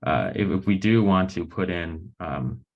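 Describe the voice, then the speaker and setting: Very deep, creaky-voiced young man. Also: measured pace, phone-quality sound. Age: young adult. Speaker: graduate researcher presenting and answering questions. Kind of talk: academic webinar talk and Q&A. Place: indoors on a video call. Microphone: video call microphone.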